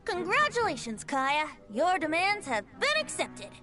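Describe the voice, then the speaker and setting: A woman's voice: very high voice, huge pitch swings, measured pace, over music, full character voice. A woman voicing an impish young male bard in an anime video game, theatrical, coaxing and mock-formal.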